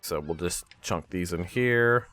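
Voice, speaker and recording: Low-pitched man talking through gameplay. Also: measured pace, a bit bright, no echo. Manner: thinking aloud, talking himself through steps. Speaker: gaming video host. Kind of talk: casual let's-play commentary.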